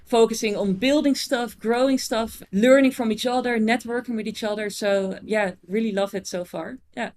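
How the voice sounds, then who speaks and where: A woman's voice: fairly high pitch, steady tone, normal speed, hardly any pauses, darkish tone, a little hiss or hum. A Dutch-born woman chatting as a podcast guest, often restarting her sentences and laughing easily. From Netherlands. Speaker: podcast guest, agency owner. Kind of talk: casual interview chat.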